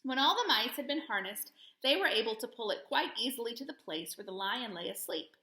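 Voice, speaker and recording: A woman reading a children's story aloud as a storyteller, with expressive delivery. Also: fairly high pitch, fairly quick. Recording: echoey room.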